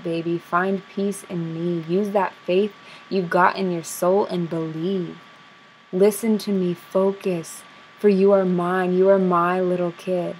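A young woman recites spoken word poetry in a soft, intimate, rhythmic rhyming delivery, recorded on a close webcam in a small dim room. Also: fairly low pitch, slight background noise, rough recording. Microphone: webcam at close range.

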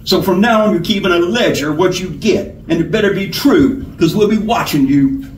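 Man acting a menacing storybook bandit, folksy bullying talk, raised pitch, roomy echo. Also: a little fast, natural voice. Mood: gloating, threatening. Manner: rough, folksy, bullying drawl. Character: swaggering, menacing male highway robber with blunderbuss. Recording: roomy, distant, noisy home recording.

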